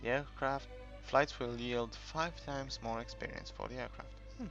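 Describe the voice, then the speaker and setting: A man giving casual, unscripted game commentary in a halting, rambling way, as if thinking aloud while he explains things. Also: mid-range voice, vocal fry, fairly bright tone. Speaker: gaming video creator narrating a tutorial. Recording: dull lo-fi home recording, music behind.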